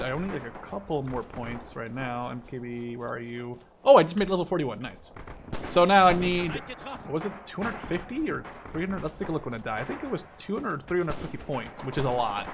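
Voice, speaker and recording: A man in his twenties from the Netherlands giving casual gameplay commentary, rambling and hesitant, often breaking off mid-sentence. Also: fairly high pitch. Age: 20s. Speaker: gaming video creator. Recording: loud gunfire and artillery noise. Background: video game gunfire, artillery and machine guns.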